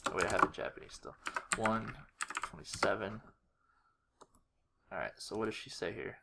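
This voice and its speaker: Low-key, gravelly man, muttering. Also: steady tone, moderate pace. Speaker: video creator. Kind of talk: casual screen-recorded walkthrough, thinking aloud. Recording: faint noise with keyboard clicks. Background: computer keyboard typing and mouse clicks.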